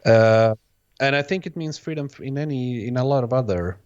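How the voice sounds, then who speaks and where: A man's voice: mid-range voice, brisk, darkish tone, clear, resonant tone, dull audio. A man whose first language is Bosnian, a music producer answering interview questions in a hesitant way, often restarting his phrases. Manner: hesitant, restarts phrases, many "sort of" hedges. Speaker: guest, electronic music producer.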